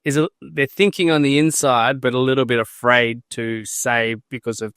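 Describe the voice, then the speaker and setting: A man's voice: mid-range voice, steady tone, moderate pace, fairly bright tone, clear, resonant tone, dry recording, studio quality. A man hosting a podcast interview, talking in a friendly, earnest way with a few hesitant restarts. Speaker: podcast host.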